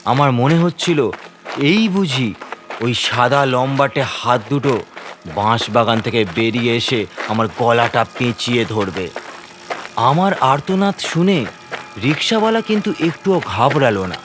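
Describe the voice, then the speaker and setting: A man's voice: medium pitch, animated, unhurried, background noise. Guy telling a scary story, dramatic suspenseful narration, mid voice, faint ambience behind. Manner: suspenseful, theatrical. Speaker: narrator and lead character of a horror story. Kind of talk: dramatic first-person horror narration.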